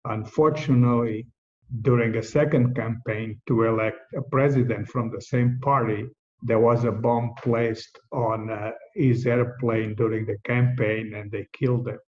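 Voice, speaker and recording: A man in his sixties from Portugal with a Portuguese accent, calmly recounting his life story as a podcast guest, with frequent "uh" pauses. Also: medium pitch. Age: 60s. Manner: measured, steady, pauses often with "uh". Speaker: guest, host's father. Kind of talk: reflective storytelling. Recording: dull lo-fi audio.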